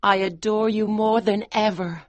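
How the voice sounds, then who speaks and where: A man voicing a devoted young wife who pleads with her husband in a high, emotional voice. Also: fairly even intonation, measured pace, dull audio. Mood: pleading.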